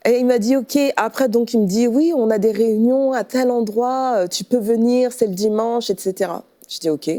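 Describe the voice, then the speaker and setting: A middle-aged woman from the Paris region with a French accent, telling her personal testimony conversationally, often pausing to rephrase. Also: a little high, dark tone. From Paris region, France. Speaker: pastor, guest giving testimony.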